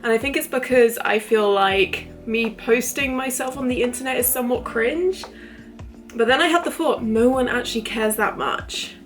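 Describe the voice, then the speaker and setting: A woman in her twenties vlogging casually to camera, chatty and rambling, her voice a little croaky from illness. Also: on the high side, normal speed, faint music behind. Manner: chatty, rambling, lots of fillers. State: recovering from illness, voice a bit croaky. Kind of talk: casual talking-to-camera vlog.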